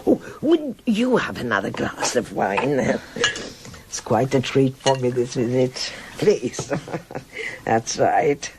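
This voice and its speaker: A woman's voice: very deep voice, sing-song, slow pace, noisy background, full character voice. An actress playing a worldly, nostalgic old Berlin woman in a radio drama, theatrical and frank as she reminisces. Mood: confiding. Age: older. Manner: theatrical, rambling reminiscence with sighs and interjections.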